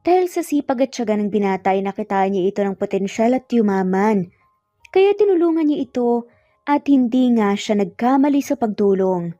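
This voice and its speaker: Female Filipino narrator for scripted drama, higher-pitched, slightly lo-fi recording with music behind. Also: expressive, moderate pace, warm tone, no echo. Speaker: storyteller. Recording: dull lo-fi audio, music behind. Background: background music.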